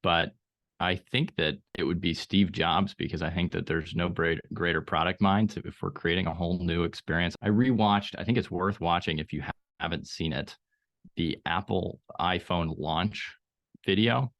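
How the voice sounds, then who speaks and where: Deep-voiced man from Austria. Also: normal speed, a bit bright. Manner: thoughtful, hesitant with restarts. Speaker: startup CEO guest. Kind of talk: casual podcast conversation. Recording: slightly dull lo-fi audio.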